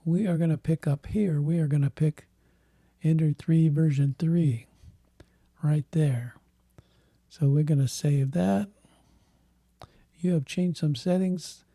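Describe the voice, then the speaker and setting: Guy losing his voice, gravelly and dark, dry close sound, halting walkthrough. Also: on the high side, steady tone, no echo. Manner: halting, thinking aloud while clicking. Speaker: tech channel host. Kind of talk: casual screen walkthrough.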